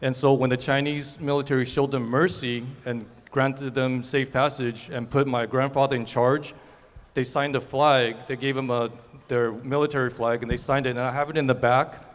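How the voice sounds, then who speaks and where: A man from Taiwan giving an earnest, somewhat halting speech at a forum. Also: mid-range voice, flat delivery. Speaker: guest speaker. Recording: reverberant hall.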